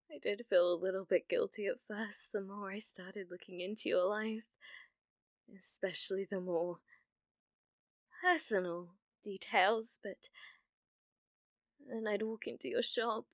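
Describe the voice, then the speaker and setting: A British woman playing an obsessive, flustered young witch in an ASMR roleplay, stammering shyly with a breathy voice that often sinks toward a whisper. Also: medium pitch, fairly bright tone, no room sound, studio quality. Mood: confessional, dreamy. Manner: hesitant, stammering, nervous giggling. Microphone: close microphone, dry sound.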